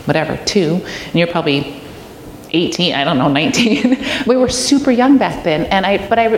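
A middle-aged woman, a guest preacher, speaking in an informal, earnest, conversational way with personal anecdotes. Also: fairly low pitch. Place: large echoey church room, live audience. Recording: echoey hall, clear background noise.

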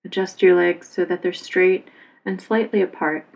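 A woman yoga instructor leading a guided relaxation meditation in a calm, soothing, unhurried manner. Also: lowish voice, vocal fry, rough recording.

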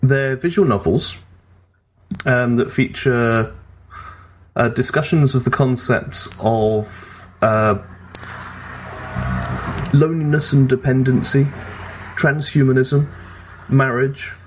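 A man's voice: medium pitch, slow, long pauses, a little hiss or hum, rough recording. A man co-hosting a podcast, chatting casually about video games. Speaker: podcast co-host.

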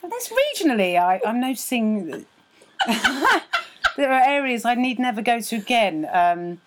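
A woman's voice: on the high side, sing-song, unhurried, noisy background. A woman comedian co-hosting a podcast, tired and self-deprecating, talking in a rambling way with restarts and laughs, recorded on a laptop without a microphone. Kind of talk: casual podcast banter.

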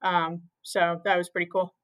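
A woman's voice: fairly low pitch, flat delivery, normal speed, fairly bright tone. A young woman from Maine, a physical therapist, answering interview questions plainly with frequent "um"s. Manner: plain, many "um"s, simplifies explanations.

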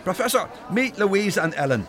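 A sly Dublin charmer, matey and coaxing. His voice is fairly high and bouncy, set against a busy crowd in a radio play. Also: big pitch swings, moderate pace, noisy background. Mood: jovial, cajoling. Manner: matey, cajoling. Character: easygoing, conniving Dublin lad, a local charmer. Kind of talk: radio drama acting.

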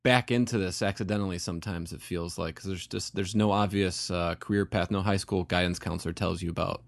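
A man hosting a podcast interview, asking curious questions in a casual, halting way with lots of fillers. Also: deep voice, steady tone, gravelly, no echo. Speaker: podcast host.

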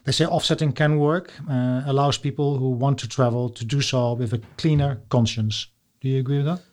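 A man's voice: medium pitch, fairly even intonation, brisk, darkish tone. A man from the Netherlands with a Dutch accent, hosting a podcast interview and talking hesitantly with many fillers. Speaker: podcast host.

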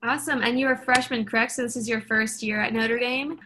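A woman's voice: on the high side, steady tone, brisk, sharp tone, a little hiss or hum. A young woman hosting a student podcast, asking questions in an upbeat, encouraging way. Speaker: podcast host. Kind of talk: interviewing students.

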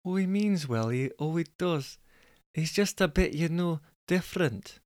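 High-pitched, grumbling Scottish girlfriend for a comic story, voiced by a man, long-suffering yet fond, close dry sound. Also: lively intonation, unhurried, no echo. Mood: fretful, defensive. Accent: put-on wobbly Scottish.

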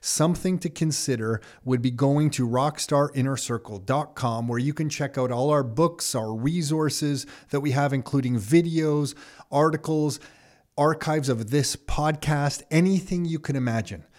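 A man's voice: on the high side, moderate pace, darkish tone. A man hosting a podcast, enthusiastic and a bit rambling, with restarts as he talks. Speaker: podcast host. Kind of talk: casual interview and ad read.

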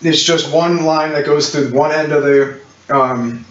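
A man's voice: fairly high pitch, steady tone, measured pace, fairly bright tone. Man running a tabletop game, distant roomy mic. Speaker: game master. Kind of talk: narrating a tabletop role-playing game. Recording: roomy, muffled distant mic with clicks, hiss.

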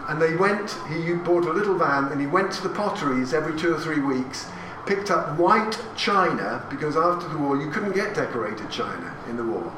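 A man's voice: a little high, animated, moderate pace, few pauses. Chatty man addressing an audience in an echoey hall. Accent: English. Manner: chatty and anecdotal, with asides and questions to the audience. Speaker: speaker giving a talk. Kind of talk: talk to a live audience about family history. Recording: echoey room recorded from a distance, with audience noise.

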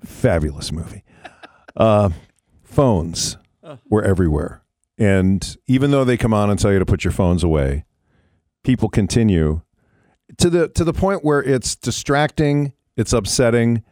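An older man with a fairly low, very lively voice hosts a morning radio show. He chats casually and emphatically, with a dry, close studio sound. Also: dry recording.

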